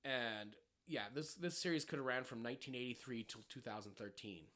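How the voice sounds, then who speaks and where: A man's voice: mid-range voice, expressive, a little fast, fairly bright tone. A man co-hosting a podcast, recapping a cartoon in a joking, profane way. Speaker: podcast co-host. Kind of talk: casual chat.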